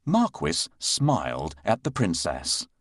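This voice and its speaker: Slow, very animated male storyteller. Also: low-pitched, slight background noise. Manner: expressive storytelling. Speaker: narrator. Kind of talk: children's bedtime story narration.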